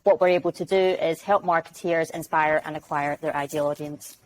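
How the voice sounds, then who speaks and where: Glaswegian woman, low, chatty. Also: steady tone, a little fast, darkish tone, a little hiss or hum, poor audio. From Glasgow, Scotland. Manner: chatty, acts out little quoted exchanges. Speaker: guest, sales development rep. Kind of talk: casual video-call interview. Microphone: video call.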